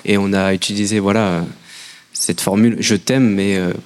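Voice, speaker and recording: French guy guest answering questions, lowish voice, hesitant and measured. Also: fairly even intonation. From France. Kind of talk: interview answers.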